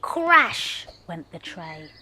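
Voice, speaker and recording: A woman's voice: fairly low pitch, sing-song, drawn-out words. A woman professional storyteller narrating a children's story expressively, leaning into the sound words. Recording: music behind the voice. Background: music.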